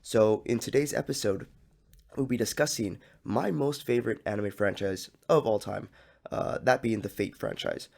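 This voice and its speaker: A young man hosts a laid-back solo podcast, his lowish voice scratchy and hoarse from coughing. He rambles along with fillers and restarts, and a gravelly fry runs through his speech. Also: normal speed, a little mellow. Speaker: podcast host. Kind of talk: casual solo podcast talk.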